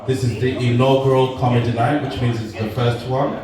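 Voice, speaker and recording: A man's voice: medium pitch, brisk, heavy background noise. A man performing a stand-up comedy set at a live venue, bantering playfully with the crowd. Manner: playful crowd work, animated delivery. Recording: roomy live venue, distant mic, crowd.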